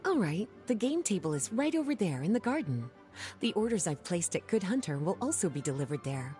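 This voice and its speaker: A woman voicing a poised, unhurried scholar who explains matters in a composed, measured way, in video game dialogue with game music behind. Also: lowish voice, very animated, warm tone.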